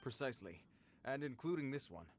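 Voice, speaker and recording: A man's voice: mid-range voice, very animated, a little fast, close to an everyday voice. A man voicing a stiff, formal patrolling guard who is flustered around children.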